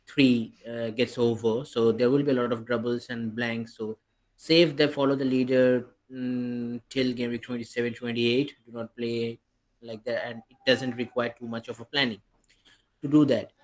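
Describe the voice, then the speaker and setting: A man's voice: medium pitch, a little mellow. A man co-hosting a podcast, chatting casually and eagerly about fantasy football, often restarting his sentences. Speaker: podcast co-host.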